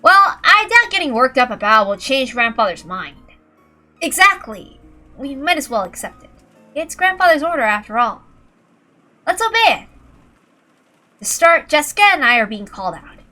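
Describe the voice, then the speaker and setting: Dutiful young man for a visual novel, voiced by a woman: higher-pitched, deferential, reasoning, music low behind. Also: very animated, normal speed, long pauses, bright, forceful tone, full character voice. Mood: calm, dutiful. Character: polite, earnest, dutiful young man, grandson of the family.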